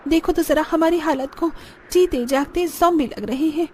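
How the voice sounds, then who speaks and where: A woman's voice: high voice, normal speed, mellow, slight background noise, lightly acted. A woman voicing a fed-up young village daughter-in-law in a cartoon, complaining emphatically.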